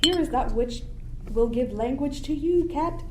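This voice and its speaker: A woman's voice: sits high, measured pace. A woman playing a boozy, scheming, blustering sailor in a theatrical Shakespearean radio play. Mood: bossy, scheming. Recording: roomy sound with clear background noise.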